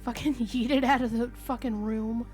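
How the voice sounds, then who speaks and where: A woman with a somewhat high voice banters as a player in a tabletop game. She is joking and loose-tongued, with faint music underneath. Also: steady tone, normal speed, darkish tone. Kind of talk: casual banter during a roleplaying game.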